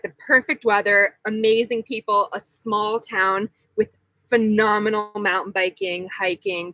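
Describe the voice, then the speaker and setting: A woman's voice: mid-range voice, pushed and bright, no room sound, poor audio. A young woman hosting a casual live-stream Q&A, chatty and giggly, full of likes. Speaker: vlog host.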